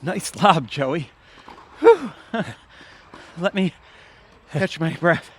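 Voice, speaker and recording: A man's voice: on the high side, sing-song, extremely slow, full character voice. A man acting a goofy, indignant, henpecked middle-aged husband in a comedic audio drama. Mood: goofy, upbeat.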